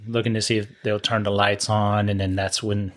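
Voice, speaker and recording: A man's voice: lowish voice, little pitch movement, moderate pace, a bit bright. A man from Scotland co-hosting a podcast in casual chat, easygoing and agreeable, often answering with short replies. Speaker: podcast co-host. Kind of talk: casual chat about a TV movie.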